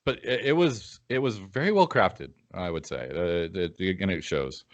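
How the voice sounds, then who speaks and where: A man co-hosting a casual film podcast, piecing his thoughts together haltingly as he talks. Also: low voice, lively intonation. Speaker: podcast co-host.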